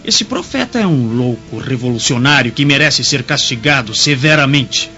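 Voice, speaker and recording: An actor playing a haughty Pharisee spokesman in a Brazilian radio drama, with forceful, contemptuous oratory and a Brazilian Portuguese accent. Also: mid-range voice, animated, moderate pace. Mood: urgent, menacing. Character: haughty scornful Pharisee spokesman of the high priests. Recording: dull lo-fi radio drama with music.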